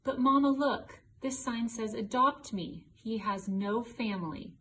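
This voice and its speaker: A woman voicing an affectionate, excited little girl in a children's picture book. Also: a little high, a little slow, voice pushed up. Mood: hopeful. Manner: affectionate, earnest. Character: affectionate, excited little girl who loves her puppy.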